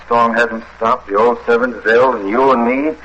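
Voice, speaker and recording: An actor with an American accent playing a practical, wry husband in a vintage radio drama. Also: male voice, mid-range voice, steady tone, moderate pace, fairly bright tone, muffled recording, natural voice. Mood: matter-of-fact. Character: practical, gruffly reassuring husband in a spooky castle. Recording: noisy old radio recording.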